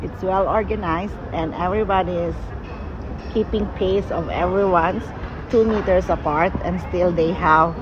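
A woman's voice: on the low side, steady tone, normal speed, muffled recording. A young woman whose first language is Filipino, filming a walking vlog on a phone and talking earnestly, repeating words for emphasis. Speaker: vlogger. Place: outdoors on a busy city waterfront plaza. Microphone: handheld phone camera, speaker off screen. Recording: outdoor phone recording with street noise.

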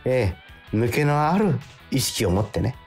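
A man's voice: medium pitch, very animated, measured pace, darkish tone. A man hosting a radio show, chatting casually and reading out listener letters in a jovial, emphatic way. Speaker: actor. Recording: music behind the talk. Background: background music.

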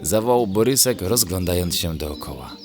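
A man gently narrating a scripted children's bedtime story in a measured, descriptive way. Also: low voice, animated. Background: music.

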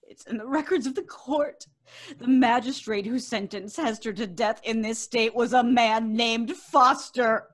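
A woman's voice: a little high, steady tone, a little slow. A woman playing a haunted, frightened, insistent wife in an old-time radio horror play, melodramatic and urgent. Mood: desperate, pleading. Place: room on a video call.

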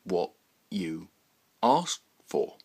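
A man's voice: sits low, sing-song, vocal fry, a little mellow, slightly rough, heavily acted. A man voicing a blunt, stubborn sign maker in a read-aloud story, speaking with slangy emphasis. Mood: defensive. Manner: blunt, slow and emphatic, informal. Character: stubborn, truculent tradesman, a sign maker, father.

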